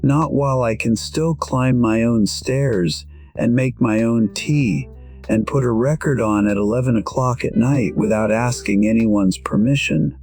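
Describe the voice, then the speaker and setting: A man voicing a weary, proud musician in his sixties, narrating an audio drama in a measured, reflective way. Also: medium pitch, a little mellow, soft background music, close to an everyday voice. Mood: tired, defensive. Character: weary, proud 62-year-old male musician, quietly regretful. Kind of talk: first-person scripted narration, audio drama.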